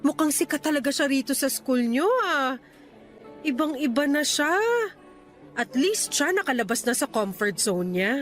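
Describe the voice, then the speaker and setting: A woman playing a doting Filipino mother in a radio drama, chatty and gushing with admiration as she gently compares her child. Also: high voice, expressive, normal speed, soft background music. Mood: admiring.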